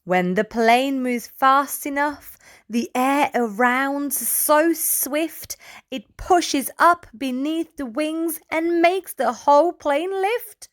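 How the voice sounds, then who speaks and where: A woman's voice: high voice, slow. A woman reading a picture book aloud to young children, in a playful manner as the host. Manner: addresses small children, playful sound effects.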